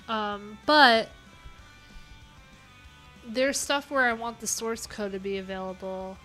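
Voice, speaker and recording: Casual woman streamer, mid-pitched, rambly and filler-heavy, soft music underneath. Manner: chatty, rambling, many fillers and restarts. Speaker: live coding streamer. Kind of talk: casual livestream chat.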